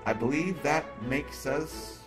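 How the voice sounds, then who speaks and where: A man playing a flirtatious, teasing superhero who is secretly smitten, in a halting, playful conversational way for a roleplay audio drama. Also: a little high, over music. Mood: flirty, playful. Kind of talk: roleplay audio drama, acted monologue to listener.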